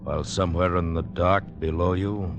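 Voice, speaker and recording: An actor as a very deep, ominous storyteller. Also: male voice, fairly even intonation, lightly acted. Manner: grave, ominous, deliberate. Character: ominous male storyteller of a menacing adventure. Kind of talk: 1950s radio suspense narration. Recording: dull vintage radio, loud music behind.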